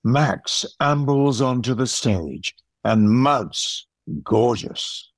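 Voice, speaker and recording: A man narrates an audio play at a slow, measured pace. The recording is dry and close, and his pitch sits in an ordinary middle range. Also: no room sound.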